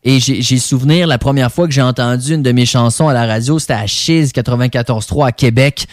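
A man's voice: medium pitch, a little fast, dry recording. Québécois man hosting radio, very dry sound. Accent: Québécois French. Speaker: radio rap show host.

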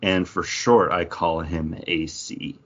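A man's voice: sits low, fairly even intonation, slow, lo-fi sound, very noisy. A man co-hosting a podcast, chatting casually as he reasons out quiz answers. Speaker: podcast co-host, quiz contestant.

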